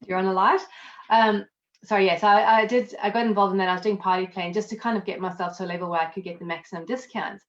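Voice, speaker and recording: A woman with a South African accent, chatting casually as an interview guest, self-deprecating and quick to laugh. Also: medium pitch, steady tone, muffled recording. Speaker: guest, accountant and network marketer.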